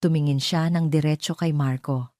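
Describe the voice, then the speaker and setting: A Filipino woman narrates a scripted audio drama. Her voice sits low and has a soft, dark tone. The sound is dry, with very little room in it. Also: steady tone, moderate pace, dry recording.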